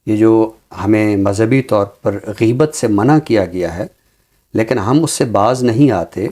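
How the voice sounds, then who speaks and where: A man speaking in a measured, deliberate and earnest way, explaining his views as a talk show commentator with a literary vocabulary. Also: on the low side, darkish tone.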